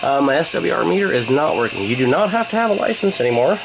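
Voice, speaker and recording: A man's voice: fairly high pitch, very animated, quick pace, creaky, background noise. An American man vlogging in a rambling, casual chat, with a wry, joking, sarcastic manner. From United States.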